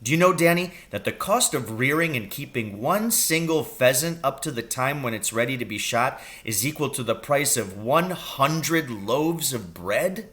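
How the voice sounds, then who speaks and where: A man voicing a scheming, excitable father from a children's storybook, with a theatrical, intense delivery. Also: medium pitch, moderate pace, hardly any pauses, lightly acted. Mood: earnest, scornful. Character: scheming, excitable father, conspiratorial poacher with a grudge. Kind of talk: children's audiobook character reading.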